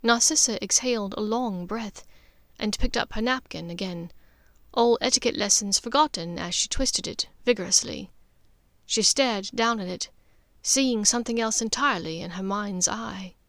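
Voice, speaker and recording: A woman reading scripted fiction as an audiobook narrator, in an expressive storytelling manner. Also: mid-range voice, normal speed, no echo.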